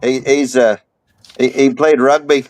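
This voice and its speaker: A man's voice: medium pitch, steady tone, measured pace, darkish tone, dry recording, natural voice. Man as brash fake Australian bloke, cheeky, plays dumb. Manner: joking, playing dumb. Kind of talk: casual chat guessing game.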